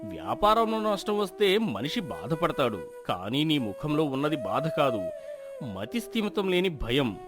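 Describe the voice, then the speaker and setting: A man plays a learned village elder from a moral storybook, with lively, swooping intonation over clearly audible music. He is concerned and gently chiding, handing out counsel in measured phrases. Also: on the high side. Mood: probing, firm. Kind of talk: acted moral-story dialogue.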